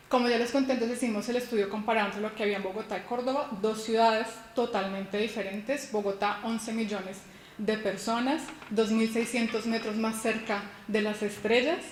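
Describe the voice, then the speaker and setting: Woman from Colombia, fairly high voice, keynote talk, echoey hall, faint background noise. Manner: personal, explanatory, with restarts and rhetorical questions. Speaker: university lecturer giving a keynote. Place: conference hall.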